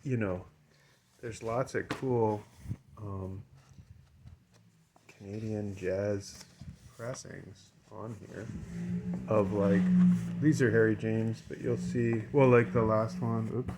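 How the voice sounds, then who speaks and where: A man's voice: on the low side, fairly even intonation, slow pace, long pauses, noisy background. A man giving a casual, unscripted show-and-tell as a collector, hesitant and rambling, often trailing off mid-thought. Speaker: record collector and seller showing items.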